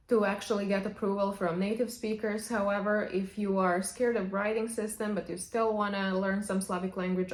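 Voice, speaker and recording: Woman giving language tips. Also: medium pitch. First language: Serbian. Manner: chatty, opens many sentences with "however". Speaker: language vlogger. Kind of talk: casual advice to camera. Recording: echoey room.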